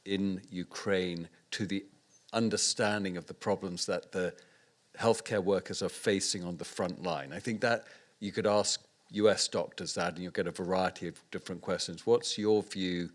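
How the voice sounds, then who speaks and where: A man's voice: sits low, steady tone, moderate pace. A young man moderating a panel in a conference room, asking a long question with many restarts. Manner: hesitant, stumbling question. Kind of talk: panel discussion.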